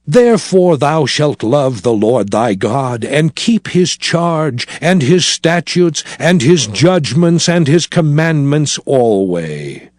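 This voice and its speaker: A man playing a solemn prophet exhorting his people in a measured, declamatory scripture drama. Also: on the high side, very animated, a little mellow, no room sound, clean studio sound. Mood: solemn exhortation. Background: music.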